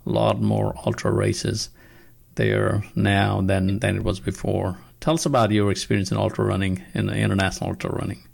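Gravelly low-voiced man from Nepal. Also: little pitch movement, darkish tone. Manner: halting, restarts questions often. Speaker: podcast host. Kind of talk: casual interview.

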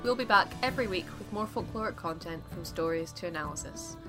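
Low-pitched woman announcer over music. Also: animated, brisk. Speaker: podcast announcer. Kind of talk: scripted podcast outro. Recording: music behind the voice. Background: prominent music.

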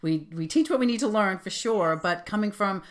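A woman's voice: medium pitch, animated, moderate pace. A woman hosting a podcast interview, upbeat and affirming toward her guest. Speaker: podcast host.

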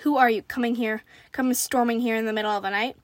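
A woman's voice: fairly high pitch, steady tone, fast, no room sound, lightly acted. A stern, protective frontier father from a western storybook, read by a woman. He gives terse, commanding orders in a dry, close recording. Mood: wary, firm.

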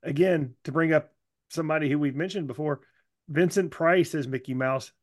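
A man's voice: fairly high pitch, moderate pace, no room sound, clean studio sound. A man co-hosting a podcast with casual comedic banter. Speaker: podcast co-host.

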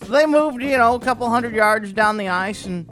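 A man putting on a folksy old fisherman who chuckles his way through a tall tale. His is broad and homey, and his speech wanders and trips over itself. A little music plays softly behind. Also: very high voice, normal speed, poor audio. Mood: jokey. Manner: chuckling, rambling storyteller. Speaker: comic segment host.

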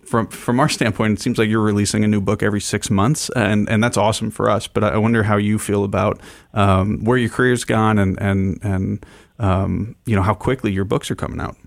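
A man hosting a casual radio interview, halting and often restarting his phrases mid-thought. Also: fairly low pitch, steady tone, gravelly, no echo. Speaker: radio show host interviewing a guest.

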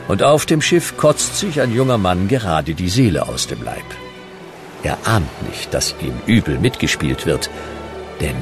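A man's voice: low-pitched, heavily acted. A male actor narrating a radio comedy as a dramatic, mock-epic storyteller. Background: music.

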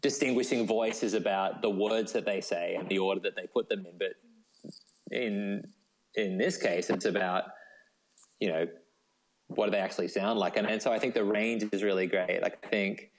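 A man's voice: lowish voice, steady tone, normal speed, rough recording. A man chatting casually as an interview guest, thinking aloud and padding his sentences with "like" and "you know". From Australia. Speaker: guest, novelist.

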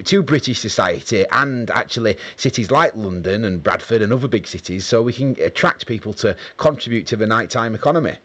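A man interviewing on local radio, asking long-winded questions in a halting, hesitant way. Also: lowish voice.

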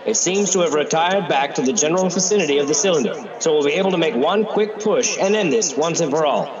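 A man playing a confident army commander in a 1930s radio drama, speaking with brisk authority. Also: on the high side, animated, highs cut off, a crowd in the background, natural voice.

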